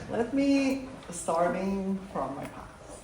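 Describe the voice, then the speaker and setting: Slow Iranian woman storytelling on stage. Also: mid-range voice, very animated, a crowd in the background. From Iran. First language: Persian. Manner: slow, halting non-native English with laughs. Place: live event hall. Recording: echoey room, mic from far off, faint noise.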